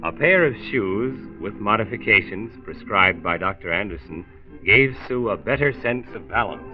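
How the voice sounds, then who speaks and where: An actor playing a folksy, earnest narrator who gives health advice in a scripted 1940s radio series. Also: male voice, fairly low pitch, expressive, normal speed, music in the background, natural voice. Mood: earnest, instructive. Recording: tinny 1940s radio recording.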